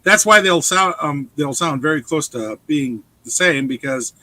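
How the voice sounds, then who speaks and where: Bright-voiced man explaining. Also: on the high side, animated, unhurried, dry recording, rough recording. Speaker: panelist. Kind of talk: casual group chat.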